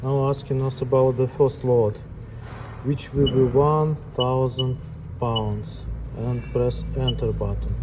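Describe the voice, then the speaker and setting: A man giving an unscripted step-by-step equipment tutorial as an instructor, speaking plainly and haltingly in short phrases. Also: medium pitch, steady tone, over music, low-quality recording. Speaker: instructor demonstrating equipment calibration.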